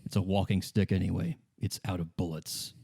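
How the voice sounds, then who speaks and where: Low, mellow man narrating a roleplay game. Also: fairly even intonation, creaky, husky. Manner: halting, self-correcting, informal. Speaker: game master. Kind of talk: improvised second-person story narration.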